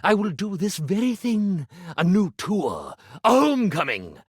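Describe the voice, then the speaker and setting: A pompous, theatrical stage magician from a video game, swooping dramatically up and down in pitch. The delivery is florid and grandiose, full of weighty pauses, with a melodramatic insecurity underneath. The recording is close and dry. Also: male voice, big pitch swings, slightly rough, no room sound, full character voice. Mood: exultant. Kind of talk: video game character acting.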